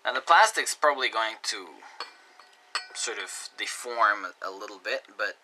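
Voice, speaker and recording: A man's voice: lowish voice, expressive, a little slow, background noise. Casual man explaining a DIY build. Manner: casual, step-by-step, trails off quietly while working. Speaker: electronics teacher. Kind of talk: hands-on DIY tutorial narration. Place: indoor desk workbench.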